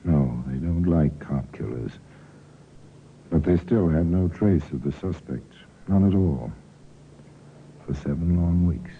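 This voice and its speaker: Very deep-voiced man grave crime storyteller. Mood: wry, grave. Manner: brooding, deliberate, sardonic. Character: grave, wry male storyteller of crime cases. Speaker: narrator and host. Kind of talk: 1950s radio drama narration. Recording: tinny, noisy old radio recording.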